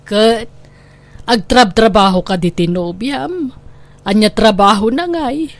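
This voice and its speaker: A woman's voice: a little high, slow, no room sound. A woman with an Ilocano accent playing a nosy, plain-spoken older landlady in a radio drama. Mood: inquisitive, frank.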